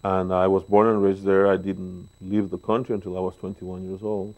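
A man in his sixties with a Mexican Spanish accent speaks in a very low, deep voice. He tells stories as an interview guest, pausing on fillers, seated in a living room with a lapel mic. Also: level tone, phone-quality sound. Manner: warm, storytelling, pauses with fillers. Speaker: guest bass player. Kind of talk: relaxed interview talk.

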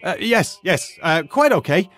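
An actor playing a nervous teacher who fakes a posh British accent, stammering and overly polite. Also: male voice, high-pitched, sing-song, unhurried, fairly bright tone, soft background music, heavily acted. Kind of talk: audio drama acting.

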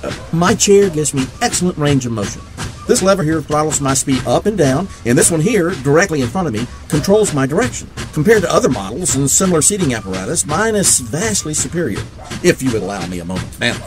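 An actor in an audio drama playing an eccentric, eager genius mechanic in a wheelchair, wordy and precise. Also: male voice, fairly high pitch, big pitch swings, a little fast, over music, rough recording. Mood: proud, eager.

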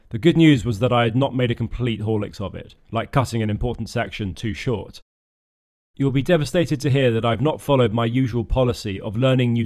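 A man reads a scripted voice-over for his own build project, steady in pitch and even in tone. His humour is dry and self-deprecating. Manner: dry, self-mocking wit. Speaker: channel host narrating a boat build.

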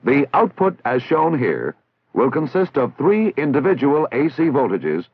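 Casting: American man for vintage army instructional narration, measured and didactic with animated intonation, through tinny old film audio. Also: mid-range voice, husky. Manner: formal, measured, didactic enunciation. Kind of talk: scripted instructional narration, 1960s military training film. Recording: tinny, band-limited 1960s film soundtrack.

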